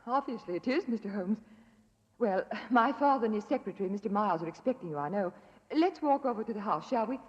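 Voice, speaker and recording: A woman's voice: mid-range voice, animated, fast talker, close to an everyday voice. Woman as an earnest young manor lady, echoing. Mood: poised. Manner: earnest, polite, dramatic. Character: anxious but well-bred young lady of the manor. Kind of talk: 1940s radio acting. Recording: dull, reverberant old radio recording.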